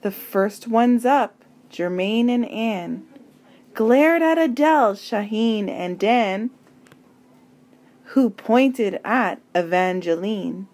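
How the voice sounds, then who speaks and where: A woman reading a children's picture book aloud, with deliberate, dramatic phrasing and emphatic pauses. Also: mid-range voice, a little mellow. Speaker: storybook reader.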